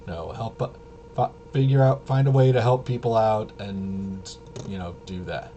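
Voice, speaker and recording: Casting: male streamer, lowish voice, loose rambling delivery that stops and restarts, noticeable background noise. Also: warm tone, muffled recording. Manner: halting, self-interrupting, trailing off. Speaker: livestream host. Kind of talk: casual rambling livestream chat.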